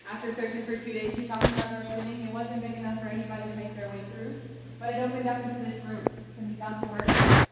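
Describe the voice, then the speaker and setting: A woman's voice: a little high, level tone, fast talker. A woman from Louisiana working as a cave tour guide, telling stories in a chatty, joking way to her group. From Louisiana, United States. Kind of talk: guided tour narration with casual storytelling. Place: inside an echoing rock cave. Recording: echoey cave, distant mic, noisy.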